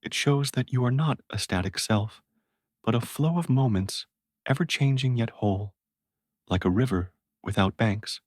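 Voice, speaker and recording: A man narrating sleep meditation stories in a gentle, calming, measured way, like a guide at bedtime. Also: medium pitch, no room sound, clean studio sound.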